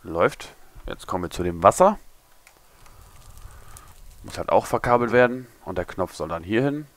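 A young man, a little hungover and hoarse, mumbling and thinking aloud as he builds in a game tutorial. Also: on the low side, expressive, normal speed, a little hiss or hum. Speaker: gaming tutorial creator. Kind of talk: casual commentary while building.

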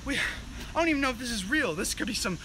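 A man's voice: extremely high pitch, animated, fast, noisy background. A man playing a frantic, bossy young guy who pleads insistently and profanely with his friends in an amateur comedy skit. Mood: urgent, frustrated. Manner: urgent, insistent, profane, repeats himself.

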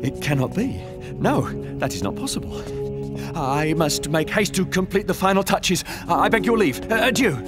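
An actor playing a sly, theatrical robed schemer with florid, courtly, archaic phrasing in a video game. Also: male voice, high-pitched, lively intonation, moderate pace. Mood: startled, hurried. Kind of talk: dramatic video game acting. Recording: loud music behind the voice. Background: loud music.

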